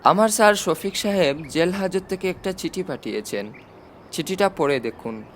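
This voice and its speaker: A polite, inquisitive young niece read by a man in a storybook. The pitch is high for a man and the manner earnest and caring, over faint background noise. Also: lively intonation, moderate pace, fairly bright tone. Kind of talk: storybook reading.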